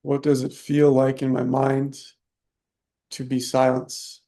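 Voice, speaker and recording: A man leading a guided meditation, soft-spoken and unhurried, leaving long pauses between short phrases. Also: medium pitch, monotone, vocal fry. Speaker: meditation teacher.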